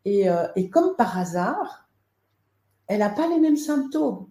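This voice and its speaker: Woman, mellow, echoey room. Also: medium pitch, sing-song, unhurried. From Belgium. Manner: hesitant, many fillers and restarts. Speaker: author and guest. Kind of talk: webinar interview.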